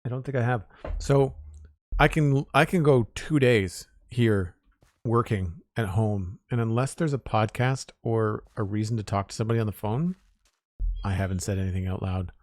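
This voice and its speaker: A man streaming a building game, talking casually in a raspy, slightly hoarse voice as he thinks aloud in an unhurried, rambling way. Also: on the low side, no echo, clean studio sound. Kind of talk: casual live-stream chat while building.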